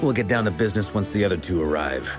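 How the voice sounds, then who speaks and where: An actor playing a genial, confident grandmaster of knights in a video game, hearty and easygoing yet in command. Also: male voice, low-pitched, animated, fast, music in the background. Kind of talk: video game character acting.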